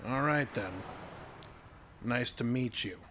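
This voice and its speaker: A man's voice: mid-range voice, takes it slowly, background noise, natural voice. An actor playing a folksy older handyman and doting grandfather in a naturalistic audio drama, courteous and plain-spoken. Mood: courteous, easygoing.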